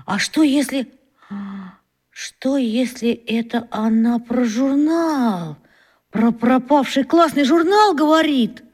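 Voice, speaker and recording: A woman playing an excitable, suspicious schoolboy detective in a children's radio play, exclaiming and thinking aloud dramatically. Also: high voice, takes it slowly. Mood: puzzled.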